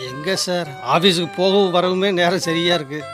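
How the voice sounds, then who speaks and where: Man acting a high-voiced earnest young working man, music behind. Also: steady tone, moderate pace, natural voice. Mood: pleading. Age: young adult. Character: loyal, earnest young working man, later bitterly let down. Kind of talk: Tamil radio drama acting.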